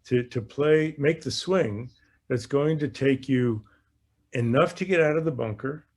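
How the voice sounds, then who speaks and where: Guy golf coach doing a q&a, chatty teaching, kinda halting, repeats himself. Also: mid-range voice. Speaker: golf coach hosting an online Q&A. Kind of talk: conversational instruction.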